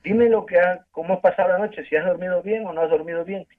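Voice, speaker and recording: A man from Ecuador with an Ecuadorian accent, earnestly telling his story as a guest in a phone-in interview, halting and restarting often. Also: high voice. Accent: Ecuadorian Spanish. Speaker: guest giving a testimony. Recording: tinny phone line.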